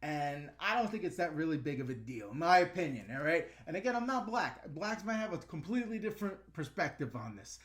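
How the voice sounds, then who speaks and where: Lively high-voiced New York man. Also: a little fast, hardly any pauses. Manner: blunt, ranting, chatty with fillers. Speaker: YouTube host answering live chat. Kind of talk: casual livestream chat.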